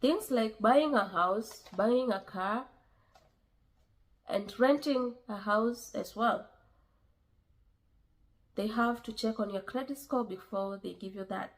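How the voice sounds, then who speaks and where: Kenyan woman giving earnest advice. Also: fairly high pitch. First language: a Kenyan language. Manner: earnest, repeats herself, many pauses and fillers. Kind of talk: casual advice vlog.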